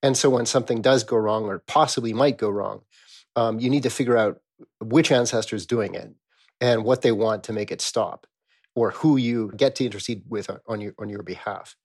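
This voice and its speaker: A man speaking as an academic expert in a conversational scholarly interview, explaining in an animated way with rhetorical questions. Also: fairly low pitch, normal speed, breathy edge.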